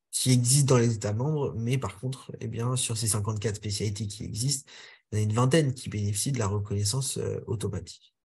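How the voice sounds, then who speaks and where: A man giving a webinar presentation over a video call, speaking haltingly with many filler sounds and restarts. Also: mid-range voice, dark tone, slightly rough.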